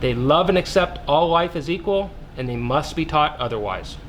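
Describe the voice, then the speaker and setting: A man's voice: a little high, expressive, normal speed, slight background noise, lightly acted. A man playing an earnest researcher, speaking in a didactic way as in a scripted interview drama. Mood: grave, preaching. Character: earnest widowed male researcher preaching plant-based eating. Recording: music playing behind the voice.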